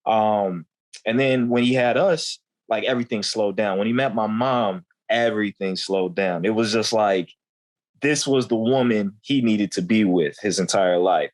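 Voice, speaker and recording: A man's voice: lowish voice, normal speed. A young man in his twenties, a guest telling candid stories in loose, slangy conversation, often saying "you know what I'm saying.". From United States.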